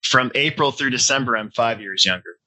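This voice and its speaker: A man's voice: medium pitch, fairly quick, sharp tone. A man in his forties hosting a casual hobby podcast from home, a bit stuffy-nosed, talking in a loose, rambling way. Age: 40s. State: stuffy nose. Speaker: podcast host. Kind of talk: casual chat.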